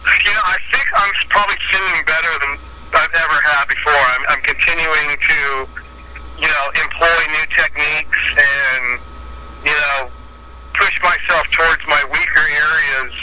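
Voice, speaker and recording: A man who sings in a metal band, talking casually in an interview and rambling with many "you know"s and "like"s. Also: a little high. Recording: loud background noise.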